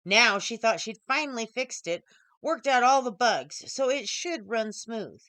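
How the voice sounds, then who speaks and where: A woman's voice: on the high side, lively intonation, a little slow, a bit bright, no echo. A woman author reading her own short story as scripted audiobook narration.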